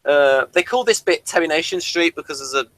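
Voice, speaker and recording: A man's voice: on the high side, fairly quick, fairly bright tone, no echo. A man giving a dry, droll reading of his review notes as a podcast host.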